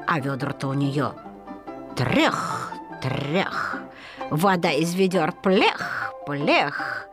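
Female storyteller, high and animated, singsong folksy delivery for a children's folk tale. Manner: singsong folksy storytelling with drawn-out repeated words. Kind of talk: expressive scripted narration of a children's folk tale.

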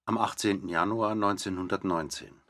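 A man's voice: low voice, steady tone, moderate pace. A man delivering terse scripted lines in a radio drama feature. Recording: loud music under the voice. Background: loud music.

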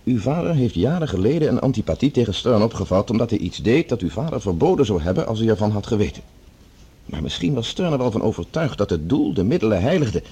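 A man playing a calm, sceptical, even-handed colleague in a radio play, reasoning diplomatically in conversation. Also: lowish voice, quick pace, breathy edge, full character voice.

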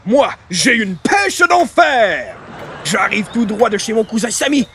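A man playing an excitable, chatty friend in an old-time radio comedy, exclaiming with familiar enthusiasm. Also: high voice, a little slow, husky, heavily acted. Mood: excited. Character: excitable, chatty friend showing off a silly invention.

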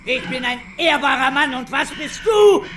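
Radio drama villain: older spiteful schemer, theatrical and self-righteous, high-pitched and very animated. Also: male voice, normal speed, shouting, a little hiss or hum, exaggerated voice. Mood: indignant, bullying. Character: wheedling, spiteful older male scoundrel and bullying stepfather.

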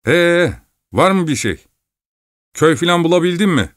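A man's voice: on the high side, lively intonation, moderate pace, a bit bright, no echo, clean studio sound, pitched above the performer's own voice. A man voicing a bossy, self-assured male traveller who scolds the sleigh driver in a raised voice, in a storybook reading. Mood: impatient.

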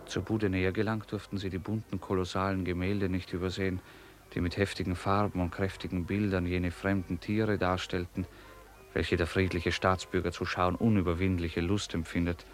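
Male narrator for literary radio drama, low register, scripted storytelling delivery.

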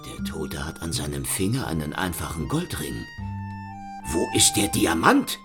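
An actor playing a dogged Paris police inspector in a radio play who questions witnesses insistently. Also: male voice, extremely low pitch, very animated, measured pace, music in the background, exaggerated voice. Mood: questioning.

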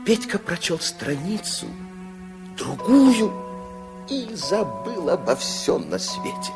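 A man narrating a 1960s radio play as a wry, theatrical storyteller with expressive scripted delivery. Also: high-pitched, slow, music in the background.

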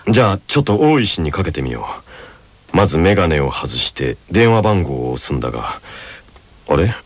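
An actor playing a calm, bespectacled teenage tennis player who invents gadgets, talking in a lecturing, matter-of-fact way in a radio drama. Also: male voice, deep voice, moderate pace, no echo, exaggerated voice. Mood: puzzled.

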